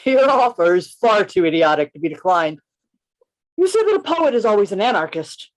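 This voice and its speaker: A man's voice: high-pitched, sing-song, normal speed, poor audio. A man reading the part of a witty, chivalrous poet who narrates his own adventure in a radio play, in formal, theatrical language over a video call. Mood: wry, gallant.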